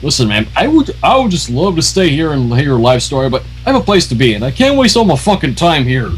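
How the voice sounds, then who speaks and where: A man voicing a gruff, weary stallion with a rough, annoyed voice. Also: on the high side, big pitch swings, fast talker, slight background noise. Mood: impatient.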